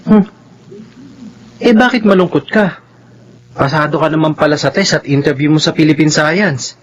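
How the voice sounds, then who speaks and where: A man's voice: on the high side, normal speed, muffled recording, natural voice. A Filipino man playing a bossy, studious teenage older brother in a radio drama, admonishing his sibling. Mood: nagging.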